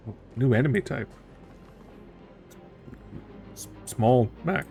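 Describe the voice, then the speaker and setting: A man's voice: mid-range voice, expressive, slow, vocal fry, dark tone, soft background music. A man streaming a video game with casual commentary, musing and thinking aloud as he plays.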